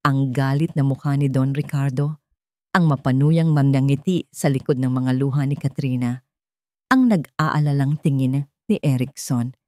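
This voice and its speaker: A woman's voice: a bass voice, normal speed, darkish tone, dry recording, clean studio sound. A woman reading scripted dramatic fiction as a story narrator.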